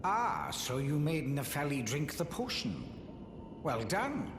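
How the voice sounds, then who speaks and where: A man's voice: fairly high pitch, sing-song, takes it slowly, background noise, exaggerated voice. A man acting as a haughty, condescending sorcerer in a fantasy video game, with a pompous, theatrical drawl. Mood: smug condescension. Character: haughty, condescending male sorcerer, sly and scornful puppet-maker. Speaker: preceptor of sorcery. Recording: echoey reverberant hall with game music.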